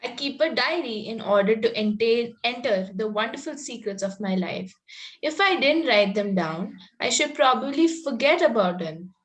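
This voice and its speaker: Woman reading as a high-voiced, artless young Victorian girl. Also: expressive, moderate pace, lightly acted, poor audio. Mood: dreamy, pert. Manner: ingenuous, politely contrary. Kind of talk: play table read, Victorian comedy.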